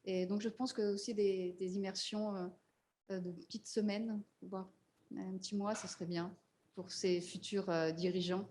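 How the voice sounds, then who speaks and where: A woman's voice: mid-range voice, fairly even intonation, moderate pace, a little mellow, a little hiss or hum. A woman speaking on a panel, hesitant and full of fillers. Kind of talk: panel discussion.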